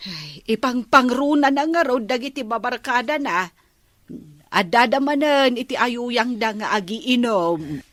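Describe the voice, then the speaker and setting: Nagging, dry-sounding Ilocano aunt. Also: female voice, a little high, expressive, a little slow, no room sound. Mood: complaining. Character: nagging, disapproving aunt of the household. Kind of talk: Ilocano radio comedy drama acting.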